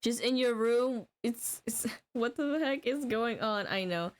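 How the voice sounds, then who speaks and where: Casting: young female game streamer from Denmark, highish voice, excitable, giggly, sweary, casual chat. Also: unhurried. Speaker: live streamer. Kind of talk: casual gaming livestream chat.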